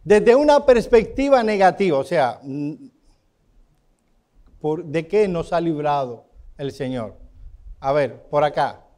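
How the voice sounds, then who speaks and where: A high-voiced man with a Cuban Spanish accent leads a church Bible class. He keeps turning to the room with questions and calls on his students by name. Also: expressive, slow pace, long pauses, mellow.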